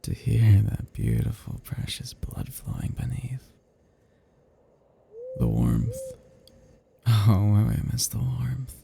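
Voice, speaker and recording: A man performs a brooding immortal vampire in an intimate ASMR roleplay. The voice is very mellow and slow, full of deep creak, slipping into breathy whispers with a seductive, possessive menace. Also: sing-song, a little hiss or hum, exaggerated voice. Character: seductive, melancholy, possessive male vampire, self-loathing immortal predator.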